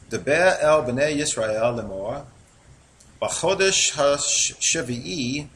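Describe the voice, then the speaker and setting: A man with a New York accent, reading Bible verses aloud and explaining them in a relaxed, conversational teaching style. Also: medium pitch, slight background noise. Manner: reads scripture aloud then comments conversationally.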